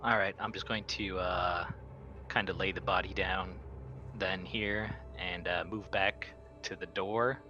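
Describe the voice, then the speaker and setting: A man chatting casually as a player in a tabletop roleplaying game, describing his moves in a halting, conversational, blunt way. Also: deep voice, fairly even intonation, muffled recording, noisy background.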